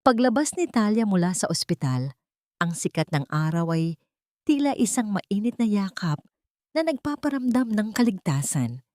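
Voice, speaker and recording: A woman's voice: medium pitch, sing-song, normal speed, a little mellow, no echo, clean studio sound. A woman with a Filipino accent reading a scripted dramatic story as an audiobook narrator.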